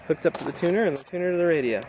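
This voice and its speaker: A man in a casual hands-on demonstration, talking in an unhurried, explanatory way as an amateur radio operator outdoors. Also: sits high. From United States. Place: outdoors on a mountainside. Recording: noisy outdoor camera recording. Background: outdoor ambient noise.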